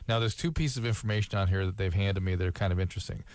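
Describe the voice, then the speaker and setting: A male radio host interviewing a guest in a quick, joking, chatty way. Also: deep voice, a little mellow, dry recording. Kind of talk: casual interview chat.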